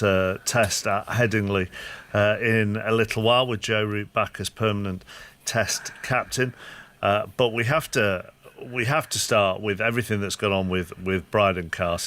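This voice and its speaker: A man's voice: on the low side, a bit bright. An English man hosting live sports radio in a conversational presenting style.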